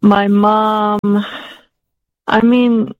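A woman's voice: mid-range voice, flat delivery, very slow, lo-fi sound. A woman in her forties raised in Denmark, answering interview questions hesitantly with many fillers and restarts. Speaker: guest, author.

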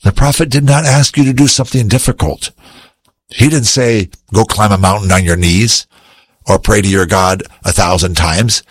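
A man's voice: fairly low pitch, moderate pace, close to an everyday voice. A man voicing a loyal officer who reasons with his captain in a coaxing way. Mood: persuading. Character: loyal soldier advisor, man, persuasive.

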